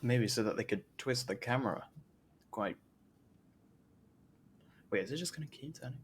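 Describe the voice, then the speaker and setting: A man's voice: mid-range voice, animated, normal speed, a little hiss or hum. A man giving casual live gameplay commentary as a streamer, rambling in a jokey, self-deprecating way. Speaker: game streamer.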